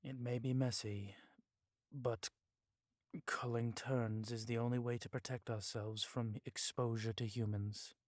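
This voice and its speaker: An actor playing a stern, cautious vampire police chief in an audio drama, speaking gravely with some Spanish words mixed in. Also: male voice, medium pitch, level tone, measured pace, no echo, clean studio sound, lightly acted.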